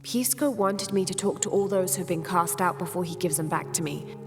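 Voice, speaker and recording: A woman playing an earnest young adventurer in a video game, speaking gently and politely as she questions and listens. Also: fairly low pitch, a little fast, lightly acted. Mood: curious, polite. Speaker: game protagonist. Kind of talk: video game cutscene dialogue. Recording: long reverb, music behind.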